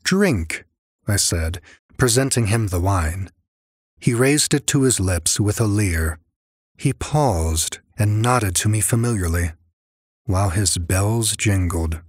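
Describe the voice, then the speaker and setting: A man narrating a gothic tale in a measured, theatrical, dramatic audiobook style. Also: on the low side, breathy edge, no room sound, clean studio sound. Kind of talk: dramatic gothic story narration, in character as first-person narrator.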